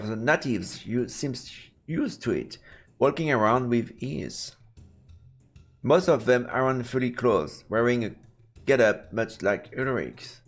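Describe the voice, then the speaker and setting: A man hosting a live let's play, reading game text aloud haltingly and adding playful muttered commentary. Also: mid-range voice, vocal fry. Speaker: streamer, let's play host. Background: game music.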